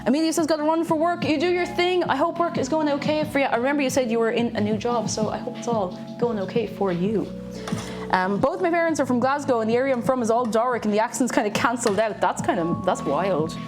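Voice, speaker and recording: A young Irish woman from Tipperary, with a bit of Dublin in her accent, chatting casually with her live chat while she cooks, laughing often and greeting viewers in excited bursts. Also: on the high side, fast talker. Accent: Irish, from Tipperary with some Dublin. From Ireland. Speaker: streamer. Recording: clear background stream music. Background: background music playing.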